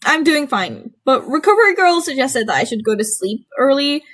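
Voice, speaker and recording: A woman voicing a gentle, polite teenage boy who puts on a friendly, reassuring front, in a story reading. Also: high-pitched, big pitch swings, moderate pace. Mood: forced cheer.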